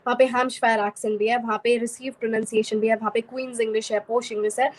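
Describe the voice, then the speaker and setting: A woman's voice: on the high side, level tone, fast talker, poor audio. A young woman from Haryana, explaining fluently as an interview guest and mixing in many English words. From Haryana, India. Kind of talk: casual interview conversation.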